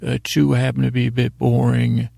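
Gravelly, husky man rambling softly toward bedtime. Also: mid-range voice, completely flat delivery, no echo. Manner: rambling, halting, self-deprecating. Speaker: podcast host. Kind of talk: meandering bedtime podcast monologue.

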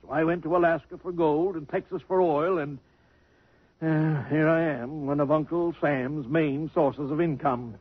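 An actor playing a gruff, stingy elderly millionaire in a 1950s radio drama. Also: male voice, a little high, fairly even intonation, unhurried, no echo. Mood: reminiscing. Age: older. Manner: blustery and cantankerous. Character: gruff elderly rich man, impatient, stingy. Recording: dull vintage radio broadcast.

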